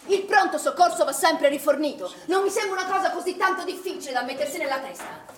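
An actress playing a stern, probing swimming pool manager in a naturalistic stage drama, insistent and scolding in manner. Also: female voice, high-pitched, fast talker, a bit bright. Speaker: actor. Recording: echoey, distant stage recording with noise.

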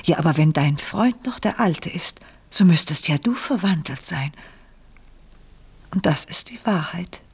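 A woman's voice: fairly low pitch, lively intonation, measured pace, darkish tone, exaggerated voice. An actress in a German radio play as a proud, wounded French noblewoman who speaks in formal, confessional phrases with feigned candour. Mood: anguished confession. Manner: theatrical, formal, breathy confessional delivery. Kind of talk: German radio play acting, period drama.

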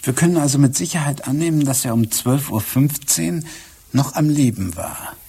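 An actor playing a calm, probing police inspector in a German radio crime drama, questioning deliberately and with restraint. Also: male voice, medium pitch, creaky, breathy edge, exaggerated voice.

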